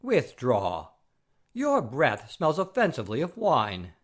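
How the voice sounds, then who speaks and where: An actor puts on an outraged old gentleman in a classic comedy audio play. He is pompous and commanding, speaking slowly with huge swings of pitch. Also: huge pitch swings, exaggerated voice. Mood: haughty outrage.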